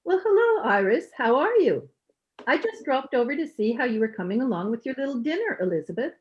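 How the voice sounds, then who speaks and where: A woman's voice: sits high, sing-song, brisk. A woman playing a nosy, haughty older mother-in-law in an old-time radio sitcom, with a fussy, sing-song, condescending manner. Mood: sweetly intrusive. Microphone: home microphone over video call. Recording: dull, lo-fi internet call recording.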